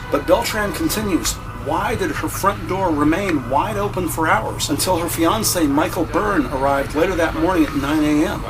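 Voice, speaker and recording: Distant male crime-drama narrator over loud noise. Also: fairly high pitch. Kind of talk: scripted police procedural narration in present tense.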